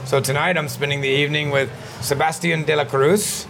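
A man's voice: fairly high pitch, fairly quick, vocal fry, over music. A man hosting a podcast, chatting loosely and laughing easily while recording in a bar. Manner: relaxed, rambling, laughs easily. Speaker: podcast host. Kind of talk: casual bar-side chat. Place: busy bar. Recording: noisy bar background.

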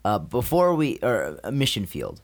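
A man with an American accent, hosting a casual interview and asking his questions in a halting, hesitant way. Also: mid-range voice, huge pitch swings. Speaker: interviewer, host.

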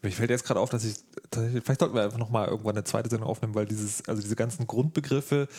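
A man's voice: lowish voice, fairly even intonation, very fast, darkish tone, breathy edge. A man hosting an interview, chatting informally and rephrasing his questions as he goes. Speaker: podcast host, interviewer. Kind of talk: casual chat, interview.

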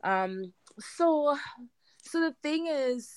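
A woman's voice: high-pitched, expressive, drawn-out words, dry recording. A woman in her thirties with a Trinidadian accent, chatting casually as an interview guest. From Trinidad and Tobago. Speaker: podcast guest, home gardener.

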